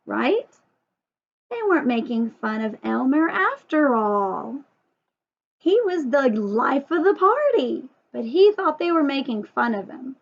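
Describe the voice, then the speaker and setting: Slow, high-voiced woman reading to children. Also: vocal fry, muffled recording. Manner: expressive, sing-song, asks listeners questions. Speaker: storyteller and teacher for young children. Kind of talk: read-aloud children's story with chatty asides to young viewers.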